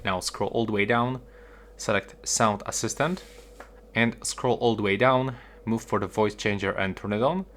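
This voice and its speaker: Low-voiced man giving a tutorial, noisy background. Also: fairly even intonation, moderate pace, a bit bright. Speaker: tutorial host. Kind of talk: casual how-to narration.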